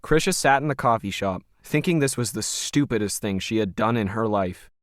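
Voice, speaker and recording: A man's voice: on the low side, expressive, moderate pace, no echo. Male story narrator, very dry sound.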